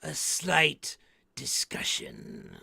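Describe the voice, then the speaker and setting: An actor playing an eccentric mad-scientist museum keeper, hammy and macabre, hosting an old-time radio horror show. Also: male voice, fairly high pitch, huge pitch swings, very slow, sharp tone, in a whisper, exaggerated voice. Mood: ominous, hushed. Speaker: horror host and narrator. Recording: clear background noise.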